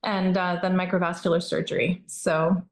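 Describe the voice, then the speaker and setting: A woman's voice: lowish voice, normal speed, reverberant. A woman plastic surgeon raised in Norway, talking easily as an interview guest with lots of fillers and restarts. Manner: relaxed, frequent fillers and restarts. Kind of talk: conversational interview answers.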